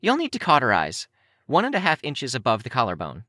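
A man's voice: medium pitch, huge pitch swings, fairly quick, no echo, studio quality, pitched above the performer's own voice, full character voice. A man voicing a cheery young girl prodigy in a story, eager and morbidly clinical, with a bouncy, curious manner. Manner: bright, bouncy, gleefully curious.

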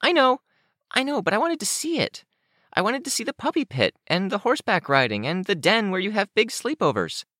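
An eager, apologetic young girl from an audiobook story, acted out by a man. The voice is pitched well up and moves in lively swings. She gushes and rambles in a dry, close recording. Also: brisk, dry recording, exaggerated voice. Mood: eager, wistful. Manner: gushing, rambling.

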